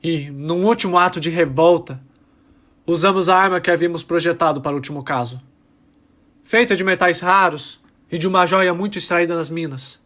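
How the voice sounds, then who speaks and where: High-voiced embittered rebel miner acted by a man. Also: fairly quick, fairly bright tone, close to an everyday voice. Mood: grave, urgent. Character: embittered male alien rebel miner testifying about his oppressed people. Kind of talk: dramatic monologue in an audio drama.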